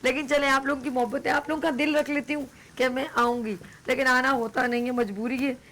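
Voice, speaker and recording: A woman's voice: high-pitched, steady tone, fairly quick, a bit bright, slight background noise. A woman with a Pakistani Urdu accent hosting a live morning TV show, chatting and teasing playfully, her throat a little sore. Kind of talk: casual live TV chat.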